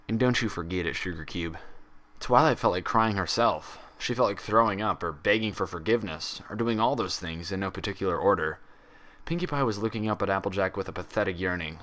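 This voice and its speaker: Low-pitched man reading a dramatic story. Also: fairly bright tone. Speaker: fan fiction reader. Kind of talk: dramatic scripted story narration.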